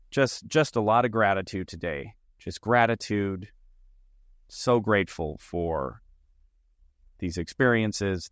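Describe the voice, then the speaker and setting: A man's voice: low voice, no echo, clean studio sound. A man hosting his own comedy podcast, talking alone in an earnest, reflective way and stringing his thoughts into long lists. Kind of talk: solo podcast monologue. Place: lives in Arizona.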